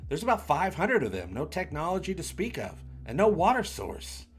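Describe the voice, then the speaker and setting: A man voicing a calm, practical starship officer in a science fiction read-along. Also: on the high side, normal speed, faint music behind. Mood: serious, analytical.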